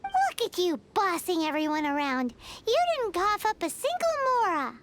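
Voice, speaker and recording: A woman voicing a tiny, cute and bossy fairy companion with a squeaky childlike voice in a video game. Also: wildly swooping pitch, moderate pace, full character voice. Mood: scolding. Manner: refers to self in third person, outspoken.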